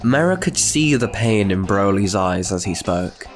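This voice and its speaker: A man's voice: lowish voice, lively intonation, measured pace. A man narrating a scripted story as the host of a channel. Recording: faint music behind the voice.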